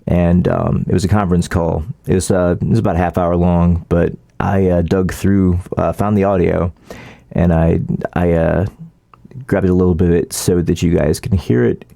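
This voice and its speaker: A man giving casual, opinionated political commentary as a vlogger, in an informal, halting, rambling way. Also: sits low, flat delivery, creaky, mellow, no room sound, clean studio sound.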